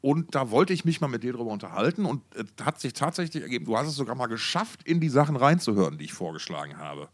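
A man's voice: medium pitch, very animated, quick pace, few pauses. A middle-aged man co-hosting a music podcast in casual, unscripted chat, enthusiastic and colloquial, often repeating his words.

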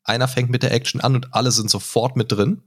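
A man's voice: mid-range voice, fairly even intonation, quick pace. A man hosting a podcast, chatting casually and often adding filler words and tag questions. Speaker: podcast host. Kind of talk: casual chat.

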